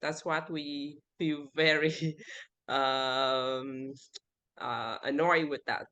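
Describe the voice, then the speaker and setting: A woman with a Vietnamese accent speaking English as a second language, answering interview questions haltingly and searching for words. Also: rumbling low, fairly even intonation, fairly bright tone. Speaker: interview guest. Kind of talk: casual interview.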